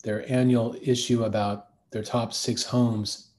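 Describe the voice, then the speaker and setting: A man's voice: medium pitch, little pitch movement, measured pace, a little mellow. A man with a mid-range voice tells a long, rambling anecdote during an interview. He is a design agency co-founder and keeps circling back with fillers and restarts. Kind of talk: conversational interview answers.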